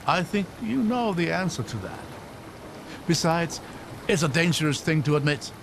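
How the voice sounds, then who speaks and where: Wry, regretful fugitive companion. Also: male voice, fairly high pitch, very animated, moderate pace, gravelly, noisy background. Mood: gently probing. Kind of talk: video game cinematic acting.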